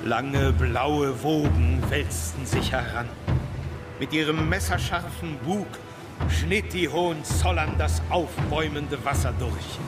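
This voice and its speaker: An actor playing a lofty patriotic chronicler, declaiming florid, solemn prose in a historical radio play. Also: male voice, on the high side, slow pace. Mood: lofty, proud. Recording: distant, under loud music.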